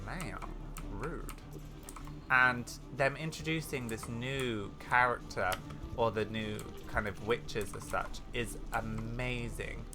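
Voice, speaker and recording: A woman giving casual, excitable gaming commentary, rambling and interrupting herself as she plays. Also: very deep voice, slow, over music. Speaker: gaming video host. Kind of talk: casual let's play commentary.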